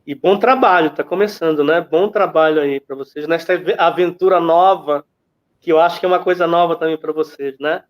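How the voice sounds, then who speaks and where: A man's voice: on the high side, brisk. A Brazilian man speaking casually as a guest commentator on a programme. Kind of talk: casual conversational sign-off.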